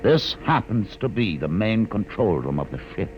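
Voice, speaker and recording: A man's voice: fairly low pitch, sing-song, normal speed. An actor playing a commanding, learned older man who speaks grandly and condescendingly in a 1950s radio drama. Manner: grandiose, condescending, theatrical delivery. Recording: dull, noisy 1950s radio recording.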